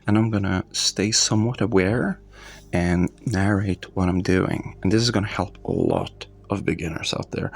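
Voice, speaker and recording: Soft-spoken non-native man with gravelly low voice. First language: not English. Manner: slow, hesitant, soft-spoken with many fillers and restarts. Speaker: meditation vlogger. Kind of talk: calm narrated live meditation. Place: quiet room at night.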